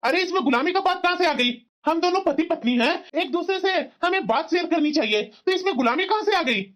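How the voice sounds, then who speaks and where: A woman's voice: extremely high pitch, animated, a little fast, fairly bright tone. A woman voicing an exasperated, dutiful young husband in a family cartoon, reasoning and scolding in a reproachful way. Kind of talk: cartoon family drama dialogue. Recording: dull, muffled lo-fi sound.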